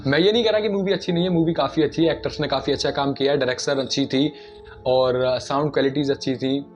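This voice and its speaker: A man's voice: medium pitch, steady tone, fast. A man giving casual, argumentative explanatory commentary, putting rhetorical questions to his listeners. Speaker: video commentator. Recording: dull lo-fi audio, music behind. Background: background music.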